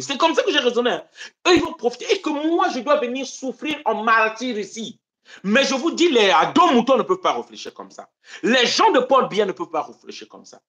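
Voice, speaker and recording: A man from Congo-Brazzaville hosts a live stream and talks politics to his viewers. His delivery is impassioned and rhetorical, with a very lively pitch that swings from loud declaiming to low muttered asides. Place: home in Europe.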